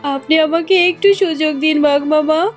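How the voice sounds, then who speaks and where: A woman's voice: sits high, steady tone, moderate pace, faint music behind, close to an everyday voice. A woman voicing a scheming fox sister-in-law in a cartoon, with an emotional, melodramatic delivery. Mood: pleading. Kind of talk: cartoon character acting.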